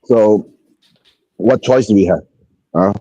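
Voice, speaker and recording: A man's voice: lowish voice, slow, warm tone, slightly rough, no echo, highs cut off. A man chatting about football on a live stream, hesitant and stammering, often tagging sentences with "right?". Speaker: panel guest. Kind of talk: casual football chat.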